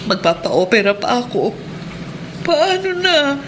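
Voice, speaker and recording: Worried sick wife, radio drama, emphatic and distressed, higher voice, clearly noisy background. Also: female voice, big pitch swings, takes it slowly. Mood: anguished. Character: policeman's worried, ailing wife facing cancer surgery.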